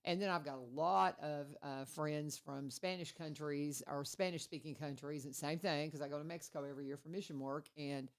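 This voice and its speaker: A woman's voice: deep voice, fairly even intonation, fairly quick, hardly any pauses. A woman hosting a casual radio interview, chatty and rambling, restarting her sentences. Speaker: radio host.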